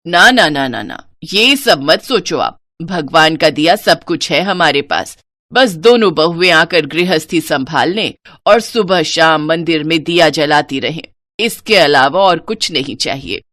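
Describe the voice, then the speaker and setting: A woman's voice: low voice, expressive, measured pace, a bit bright, dry recording, studio quality, voice pushed down. A woman voicing a kindly, hearty older mother-in-law in a moral story, repeating words as she speaks. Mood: reassuring. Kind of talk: Hindi moral story character acting.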